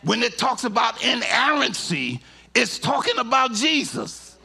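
Gravelly, bright-voiced preacher shouting in crescendos. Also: male voice, sing-song. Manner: swings from low, slow teaching to shouted, sung-out climaxes. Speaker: pastor. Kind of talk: sermon. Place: church sanctuary. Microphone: handheld mic.

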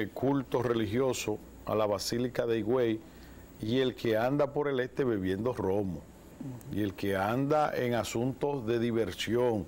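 Man, Dominican accent, 40s, TV studio, lapel mic, animated commentary. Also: medium pitch, slight background noise. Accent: Dominican Spanish. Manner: animated, gestures with both hands. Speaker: talk show co-host. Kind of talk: political commentary.

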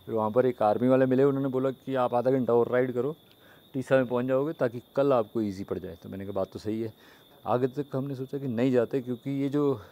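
A man's voice: mid-range voice, mellow. A man, a travel vlogger talking casually to the camera. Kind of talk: casual recap talk to camera. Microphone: camera at about arm's length.

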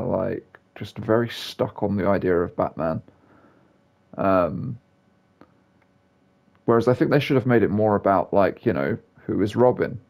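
Casting: male gaming host, offhand and rambling, lowish voice with a mellow, relaxed tone. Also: creaky, lo-fi sound. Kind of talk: casual game commentary.

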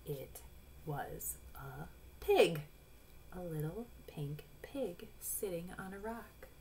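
Female storybook reader, forties, low voice, instructive asides, noisy home webcam sound. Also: animated, darkish tone. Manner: instructive, addressing young children, pausing to point things out. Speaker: picture book read-aloud reader. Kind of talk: storybook read-aloud with explanatory asides. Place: small room at home.

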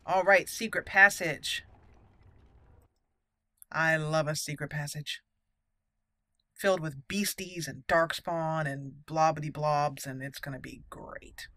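A woman's voice: sits low, fairly even intonation, takes it slowly, pauses often, fairly bright tone, dry recording. A woman doing casual, chatty let's play commentary with some roleplay, playful in manner. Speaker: gaming video host.

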